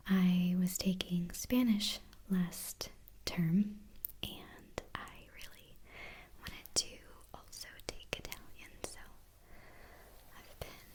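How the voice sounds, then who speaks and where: A woman's voice: on the low side, a little mellow, breathy edge. A woman whispering a casual, rambling ASMR chat for her channel, drifting off into digressions as she talks.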